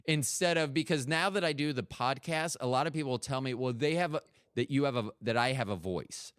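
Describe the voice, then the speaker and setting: A middle-aged man with an American accent hosts a casual interview. His voice is smooth and resonant at an average pitch. He talks candidly and tends to ramble, recorded dry and close. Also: a little fast, fairly bright tone, no room sound. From United States. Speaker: podcast host.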